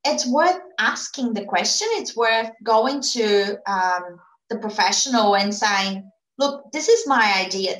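A woman who runs a PR agency answers interview questions in a casual, earnest way, often restarting her sentences and filling pauses. English is her second language. Her voice sits in a middle range and bounces around an echoey room. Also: medium pitch, a bit bright. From immigrant to Australia. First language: not English. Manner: earnest, frequent fillers and restarts. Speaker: PR agency owner, guest.

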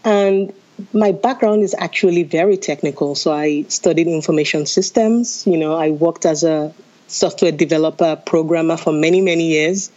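A woman from Nigeria speaks as an interview guest in a low-pitched voice. Her audio sounds dull and lo-fi, and she answers in a friendly, chatty manner. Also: moderate pace, darkish tone. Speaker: travel writer and photographer guest.

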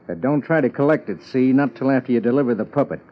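Male actor as shady 1950s radio-drama mug, American, curt and bossy, animated intonation, dull vintage sound. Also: mid-range voice, fast, natural voice. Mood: brusque. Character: gruff, shady tough-guy mug hiring a detective. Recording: dull lo-fi old radio recording.

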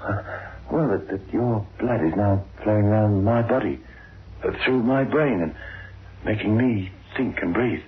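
A man's voice: on the low side, a little slow, close to an everyday voice. An actor playing a hesitant, stammering young man in a 1960s radio horror drama. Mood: hesitant, musing. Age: young adult. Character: young male hospital patient, hesitant, later panicking accident victim. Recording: noisy, narrow, cavernous echoing old radio.

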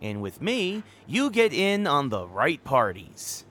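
An actress playing a young, socially savvy college friend in an audio drama, casual and teasing in a naturalistic way. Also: female voice, low-pitched, sing-song, takes it slowly, fairly bright tone, heavily acted. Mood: playful.

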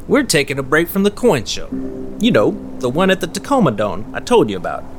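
A man's voice: on the high side, wildly swooping pitch, brisk, soft background music. A man playing a folksy, chatty, nosy small-town fisherman in an audio drama, rambling on good-naturedly.

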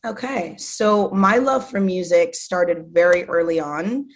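A woman's voice: lowish voice, measured pace. A woman in her thirties from Zimbabwe, a guest speaking casually and enthusiastically, with many fillers. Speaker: guest, artist manager. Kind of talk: casual interview conversation.

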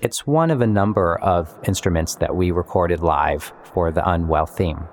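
A man's voice: deep voice, normal speed, music in the background. A man speaking as a composer in an interview, reflecting on his work in a thoughtful, explanatory way.

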